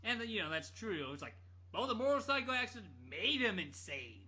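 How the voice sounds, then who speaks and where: A man with a bright, forceful voice rambles through jokey anecdotes as a podcast storyteller. His pitch jumps around with lots of animation. The audio is a bit dull and lo-fi. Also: very animated, brisk. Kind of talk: casual anecdote telling.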